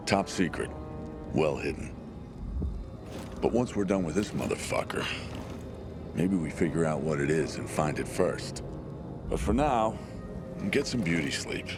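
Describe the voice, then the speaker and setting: An actor playing a gruff, loyal military lieutenant who talks bluntly and rallies his squad in a video game cutscene. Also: a bass voice, expressive, a little slow, pauses often, over music. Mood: brooding. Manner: commanding, comradely, blunt and profane.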